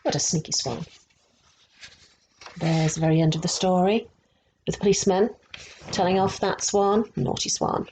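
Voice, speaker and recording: A woman's voice: lowish voice, moderate pace, long pauses, a little mellow, a little hiss or hum, poor audio. A woman storyteller reading a picture book aloud to children, adding explanatory asides and putting questions to her young listeners. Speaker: resident story teller.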